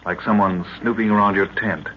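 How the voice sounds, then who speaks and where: An actor playing an earnest, devoted young adventurer in old radio style, polite and full of old-fashioned exclamations. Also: male voice, on the low side, steady tone, a little fast, natural voice. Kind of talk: 1940s radio acting. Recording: muffled, noisy old radio recording.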